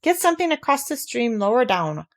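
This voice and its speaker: A woman's voice: fairly high pitch, sing-song, fairly quick, voice pushed up. Bossy urgent rabbit, read by a woman. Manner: urgent, ordering.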